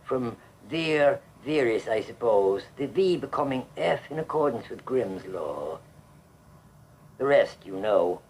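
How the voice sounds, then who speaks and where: An actor playing a crotchety, pedantic old Irish husband in a radio play, gloomy and abrupt, with a husky, rough voice. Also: male voice, mid-range voice, lively intonation, slow, darkish tone, a little hiss or hum. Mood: pedantic, demanding. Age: older.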